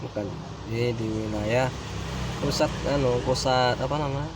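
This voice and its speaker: A man's voice: medium pitch, fairly even intonation, normal speed, heavy background noise. A man with an Indonesian accent giving casual game commentary, often searching for his words. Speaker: gaming streamer. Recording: commentary over loud game music.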